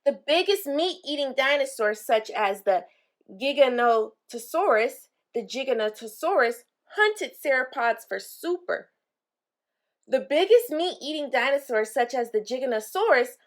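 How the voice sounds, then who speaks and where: A woman with a fairly high voice reads a picture book aloud for children. She takes it very slowly, adding simple explanations for young listeners as she goes. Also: a bit bright. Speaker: read-aloud storyteller for children.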